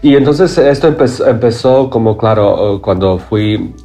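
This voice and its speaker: A man from Croatia, a playwright, speaking haltingly in an as an interview guest, often searching for words. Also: on the low side, mellow, soft background music. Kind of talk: interview conversation.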